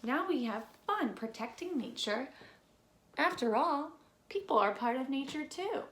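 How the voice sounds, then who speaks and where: A woman reading a children's storybook aloud expressively, with deliberate phrasing and playful emphasis. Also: sits high, animated, fairly bright tone. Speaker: read-aloud presenter. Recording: echoey room with faint noise.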